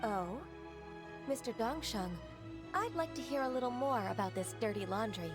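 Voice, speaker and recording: A woman voicing a composed, formal female secretary in a video game, polite and measured, over game music. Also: on the high side, expressive, close to an everyday voice.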